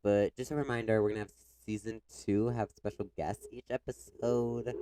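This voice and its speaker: A young man with a congested, stuffy voice chats loosely on a podcast, piling on filler words as he tells stories. His voice sits low and comes across smooth and clean. The sound is dry and close. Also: steady tone, moderate pace, dark tone, no echo. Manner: chatty, many "like" fillers, retells stories with quoted voices. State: congested with a cough. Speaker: podcast co-host. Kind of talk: casual chat.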